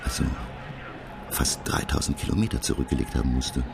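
Very deep, gravelly professor narrator. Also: male voice, fairly quick. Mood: measured, suspenseful. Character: learned, self-assured French professor recounting his expedition. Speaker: narrator and lead character. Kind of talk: dramatic scripted narration. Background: music.

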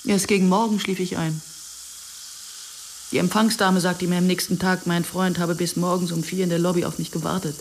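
A woman's voice: fairly low pitch, little pitch movement, normal speed, slight background noise, close to an everyday voice. An actress playing an anxious, introspective woman who narrates a radio drama in the first person. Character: anxious, introspective Israeli woman recalling her past. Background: music.

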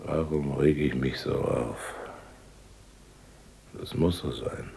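An actor playing a rich older village miller, gruff and scheming, who rants and then broods in a radio drama. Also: male voice, slow, noisy background, heavily acted. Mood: brooding. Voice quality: gravelly, husky voice. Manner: blustering, then brooding and low.

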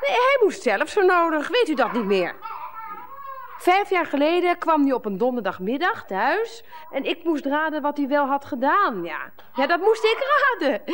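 Radio play wife, middle-aged, sardonic and scolding, high-pitched, very animated delivery. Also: female voice. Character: exasperated, sarcastic middle-aged wife, given to alarm.

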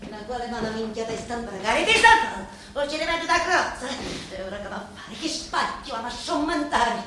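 An actress playing a bitter, scheming woman of a mafia family in a stage drama, with a Sicilian accent and a deliberate, theatrical delivery that swells suddenly. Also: fairly high pitch, expressive, bright, forceful tone. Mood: furious, commanding. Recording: very echoey room, faint background noise.

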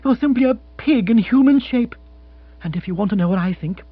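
A man's voice: extremely high pitch, animated, a little fast, breathy edge, no echo, pitched above the performer's own voice, exaggerated voice. A man voicing a spirited, outspoken young Englishwoman in a comic audiobook, scolding emphatically with indignation. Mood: cold, indignant. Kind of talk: comic audiobook character acting. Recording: muffled recording with hum.

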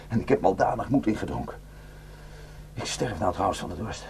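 A desperate, guilt-ridden defector in a radio drama. His voice sits fairly low and moves between halting confession and bitter, intense warning. A faint hum and noise sit behind him. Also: expressive, creaky, a little mellow. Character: haunted, guilt-ridden Kurdish male defector, desperate to warn villagers. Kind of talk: Dutch radio drama acting. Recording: noisy radio play recording with hum.

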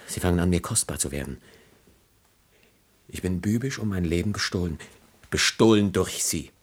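Ein Schauspieler spielt in einem klassischen deutschen Hörspiel einen gequälten, verbitterten jungen Adligen im Sterben, stockend und theatralisch deklamierend. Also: male voice, deep voice, animated, husky. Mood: bitter reproach. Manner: halting, theatrical declamation. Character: anguished young nobleman, bitter, poisoned and dying. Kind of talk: German classical radio drama.

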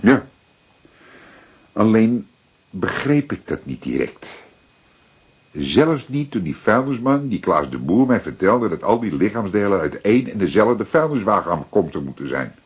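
Husky, deep-voiced veteran Dutch detective. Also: male voice, sing-song, highs cut off, full character voice. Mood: calm, explanatory. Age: older. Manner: deliberate, emphatic, repeating words for effect. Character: seasoned male police detective, paternal, methodical, secretive. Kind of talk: dramatic detective dialogue acting.

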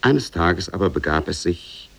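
A very low male voice with a gravelly edge tells an oriental fairy tale. The delivery is measured and literary, in the style of 1950s radio drama, with a standard German accent. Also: lively intonation, fairly bright tone, exaggerated voice. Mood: measured storytelling. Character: storyteller of an Arabian Nights tale. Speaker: narrator.

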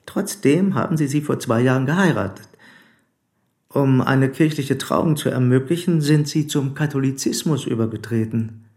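An actor playing a probing, formal police commissioner in a German radio play, speaking deliberately and insistently. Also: male voice, mid-range voice, darkish tone, natural voice. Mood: stern, measured.

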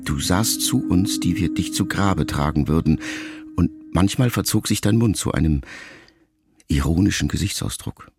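An actor playing a melancholy, reflective writer son in a radio drama, speaking in measured, intimate, halting phrases as if to his mother. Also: sits low, creaky, darkish tone, soft background music. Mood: somber, reflective.

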